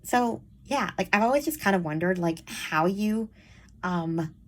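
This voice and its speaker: A woman chats to her audience in a voice that sounds childish and young. Her pitch swings up and down a lot as she rambles. She speaks frankly and uses plenty of fillers. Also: lively intonation, unhurried, a little hiss or hum. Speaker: vlogger. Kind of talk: casual chat to camera.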